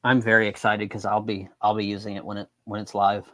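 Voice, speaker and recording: Male podcast guest, lowish pitch, casual chat, dry close sound. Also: completely flat delivery, fast talker, a bit bright, dry recording.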